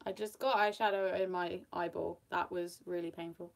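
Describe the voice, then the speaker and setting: A young British woman with a faint Australian lilt chats away while doing her makeup on camera. She rambles with fillers and laughs easily, in a relaxed, friendly way. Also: fairly low pitch, normal speed, vocal fry. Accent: British, with a slight Australian tinge. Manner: chatty, giggly, rambling with fillers. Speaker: makeup vlogger. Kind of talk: casual get-ready-with-me chat.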